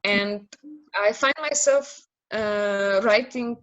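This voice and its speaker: A woman's voice: medium pitch, slow pace, a bit bright, muffled recording. A woman in her thirties with a Polish accent, speaking English as a second language as an interview guest on a video call, hesitant with many fillers and restarts. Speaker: interview guest, naming specialist. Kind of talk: casual interview conversation.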